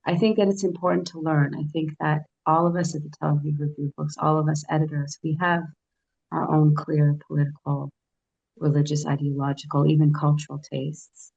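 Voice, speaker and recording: A woman with a very deep, gravelly voice speaks on a panel, measured and reflective and hesitant, with many fillers. The recording sounds dull and lo-fi. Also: level tone, darkish tone. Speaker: editor and panelist. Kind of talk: panel discussion.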